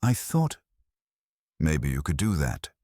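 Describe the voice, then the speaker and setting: A man voicing a nervous, earnest young woman who confesses her part in a scheme, his voice pitched up and hesitant. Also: huge pitch swings, slow pace, dark tone, dry recording, clean studio sound, full character voice.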